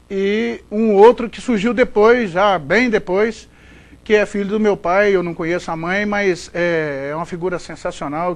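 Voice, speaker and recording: A middle-aged man from Goiás with a Goiás Brazilian accent, a sports broadcaster giving chatty, rambling interview answers. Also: high voice. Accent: Goiás Brazilian Portuguese. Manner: chatty, rambling, frequent fillers and restarts.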